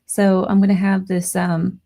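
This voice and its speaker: A woman hosting a live paranormal radio show, hoarse and losing her voice, chatting excitedly with her listeners. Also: medium pitch, measured pace. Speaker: radio podcast host. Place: home studio near Las Vegas.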